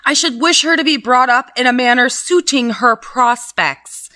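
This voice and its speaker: A woman's voice: high-pitched, moderate pace, pushed and bright, no room sound. Woman reading as a cold, haughty guardian. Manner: curt, disdainful.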